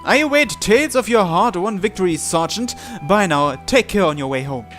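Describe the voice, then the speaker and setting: Higher-voiced man reading a visual novel aloud. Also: expressive, normal speed, over music. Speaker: gamer hosting a visual novel playthrough. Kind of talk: reading game text aloud with casual commentary.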